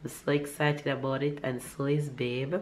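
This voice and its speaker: A young woman with a Jamaican accent, slightly hoarse from a cold, chatting casually to her vlog camera at home. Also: very deep voice, flat delivery, normal speed, a little hiss or hum. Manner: rambling, warm, upbeat, with restarts. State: slightly hoarse, recovering from a cough. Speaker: vlogger. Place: living room at home.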